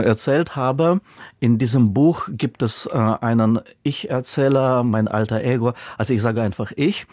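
A man's voice: mid-range voice, darkish tone, no room sound, studio quality. A man whose first language is not German, speaking as an author in a radio interview, thoughtful and given to repeating words for emphasis.

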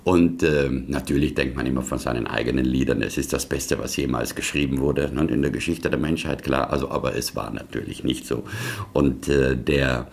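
A man's voice: very deep voice, steady tone, moderate pace, vocal fry. An older man from South Tyrol telling anecdotes in a chatty, digressive way, often tagging his sentences. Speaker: interviewee, performer. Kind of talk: casual anecdotal storytelling.

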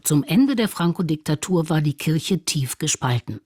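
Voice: mid-range voice, lively intonation, normal speed, a little mellow, no room sound, studio quality. A narrator reading a scripted radio documentary.

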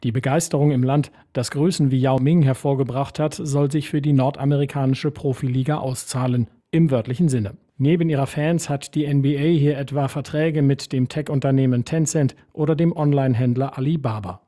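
A man's voice: medium pitch, fairly even intonation, a little fast, hardly any pauses, dark tone. A man narrating a scripted radio report as a foreign correspondent.

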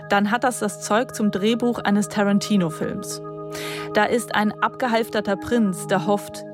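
A woman reporter narrating a courtroom report in a measured, matter-of-fact scripted style. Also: medium pitch, no echo.

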